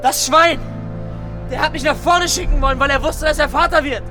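An actor playing an agitated, cornered soldier in a radio drama, bitter and defiant, blurting coarsely in short bursts. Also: male voice, extremely high pitch, a little fast, music in the background. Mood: furious. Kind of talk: naturalistic German radio drama acting.

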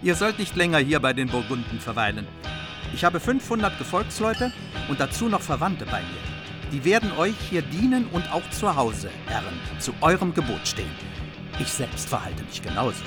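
An actor playing a noble margrave who speaks as a formal, earnest envoy in a radio play. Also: male voice, on the high side, very animated, normal speed. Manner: solemn, formal courtly speech. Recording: music under the voice.

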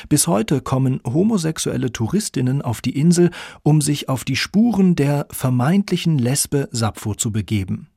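A man's voice: fairly high pitch, gravelly, dark tone, breathy edge, dry recording, studio quality. A man reading scripted narration for a radio documentary.

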